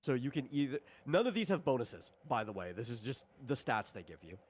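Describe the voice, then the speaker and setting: A man's voice: medium pitch, sing-song, brisk. A man acting as game master, talking through the rules and equipment of a tabletop game in an unscripted way.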